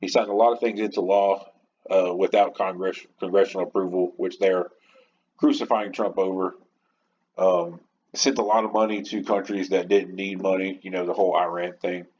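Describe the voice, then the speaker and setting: A man's voice: sits low, fairly even intonation, normal speed, darkish tone. A man hosting a podcast, giving a casual, rambling, opinionated monologue in an informal way. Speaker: podcast host. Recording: muffled lo-fi home recording, background noise.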